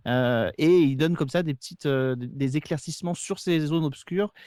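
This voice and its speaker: A man's voice: a little high, normal speed, warm tone, clean tone, dry recording, studio quality. A man talking casually as a podcast guest, eagerly explaining and recommending shows.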